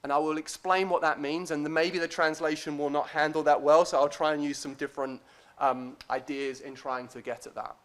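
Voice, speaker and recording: A man giving a conference lecture as a guest academic, speaking in a deliberate, hesitant, self-deprecating way. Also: on the high side, steady tone.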